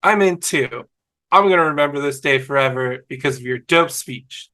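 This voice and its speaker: A man on a video call table read, playing a dim, sweet, excitable young slacker. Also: mid-range voice, normal speed, lightly acted. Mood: earnest, dopey. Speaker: amateur table-read actor. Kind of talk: fan table read of TV comedy script.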